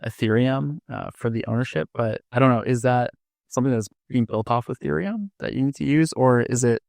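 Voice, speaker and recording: A man hosting a podcast in a casual interview style, speaking in a halting, informal way. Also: medium pitch, gravelly, a little mellow, no echo, clean studio sound. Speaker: podcast host.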